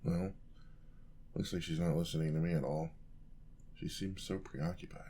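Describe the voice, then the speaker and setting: A man's voice: sits low, little pitch movement, moderate pace, warm tone, a little hiss or hum, lightly acted. A man playing an eager, charming young apprentice paladin in a fantasy ASMR roleplay, talking to the listener in a polite, easily flustered way. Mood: quiet, puzzled. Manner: polite, flustered, hesitant, chatty.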